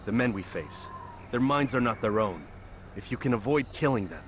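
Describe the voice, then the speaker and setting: An actor playing a stern, resolute medieval assassin, terse and commanding, in a dramatic video game cutscene. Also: male voice, medium pitch, lively intonation, moderate pace, a little hiss or hum, natural voice.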